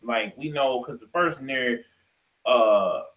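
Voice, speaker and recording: An American man co-hosting a casual podcast debate, talking in slang, often halting and repeating himself. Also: mid-range voice, roomy sound, phone-quality sound, a crowd in the background. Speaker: podcast co-host.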